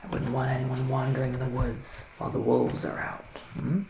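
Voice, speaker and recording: A man speaking in a hushed, half-whispered voice with pauses between phrases, playing a soothing, mystical forest witch in an ASMR tarot reading. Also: mid-range voice, completely flat delivery, creaky. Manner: unhurried, pausing between short phrases. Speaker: ASMR roleplay performer. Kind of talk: ASMR psychic reading roleplay. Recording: roomy echo, faint background noise.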